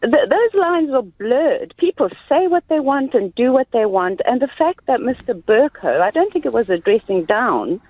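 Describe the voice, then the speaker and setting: A woman's voice: fairly high pitch, sing-song, moderate pace, few pauses, no room sound. Woman on a tinny phone line. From South Africa. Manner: earnest, articulate. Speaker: caller. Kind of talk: phone-in call.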